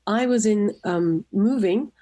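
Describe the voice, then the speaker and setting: A middle-aged woman from Tunisia, a nonprofit director, giving measured, composed answers in a radio interview. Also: medium pitch, dark tone. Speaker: guest, nonprofit executive director.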